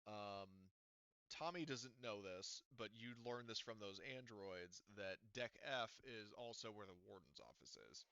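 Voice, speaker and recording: A man's voice: lowish voice, a little slow, bright, forceful tone, clean tone, no room sound. A man running a tabletop game as game master, talking casually with lots of fillers and swearing. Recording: dull lo-fi audio.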